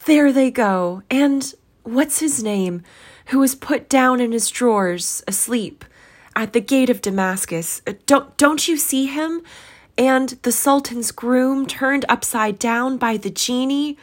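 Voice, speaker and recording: Woman acting an excitable old miser, pitched way up. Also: slow. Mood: ecstatic. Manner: excitable exclaiming. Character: old miser man, childlike ecstatic, near tears. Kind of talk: storybook character voice.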